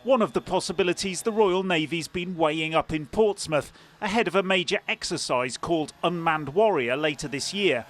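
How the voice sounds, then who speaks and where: A man narrates a news package as its reporter. His voice is pitched fairly high for a man, delivering scripted lines. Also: normal speed, a bit bright.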